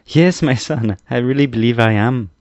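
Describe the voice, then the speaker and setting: A man voicing an eager, proud young boy adventurer in a storybook, explaining things excitedly. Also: medium pitch, moderate pace, dry recording, lo-fi sound, voice pushed up, natural voice.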